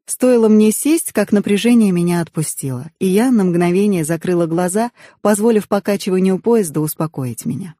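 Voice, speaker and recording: A woman reading a novel aloud as an audiobook narrator, in expressive first-person storytelling. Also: medium pitch, normal speed, few pauses, no room sound, clean studio sound.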